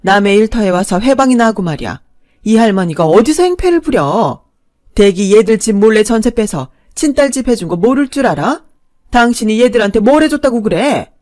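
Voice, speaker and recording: A woman's voice: a little high, sing-song, brisk, a little mellow, no echo, clean studio sound. A woman voicing a blunt middle-aged woman who sharply scolds an old lady. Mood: scolding.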